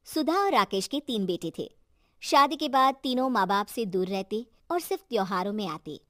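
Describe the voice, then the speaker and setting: A woman's voice: mid-range voice, expressive, normal speed, bright, forceful tone, no room sound, studio quality. A woman giving a scripted storyteller's narration of a family moral tale.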